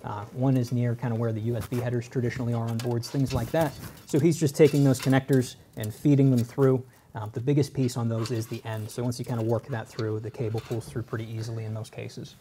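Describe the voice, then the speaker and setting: A man's voice: mid-range voice, level tone, fast talker, mellow, slight background noise. A man co-hosting a computer-building stream, explaining parts patiently and telling corny dad jokes. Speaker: tech co-host. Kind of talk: casual live-stream explanation.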